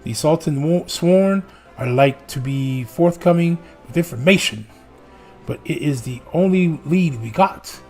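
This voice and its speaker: A man reading aloud as a gruff, streetwise male rogue in a put-on drawl, from a video game. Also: fairly high pitch, measured pace, a little mellow, faint music behind. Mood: wary.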